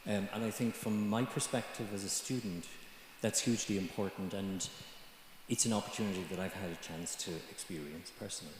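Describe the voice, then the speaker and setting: A man's voice: lowish voice, steady tone, slight background noise. Hesitant older Irish man in echoey hall. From Ireland. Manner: measured, hesitant with frequent ums and restarts. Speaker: mature student panelist. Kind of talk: panel discussion remarks.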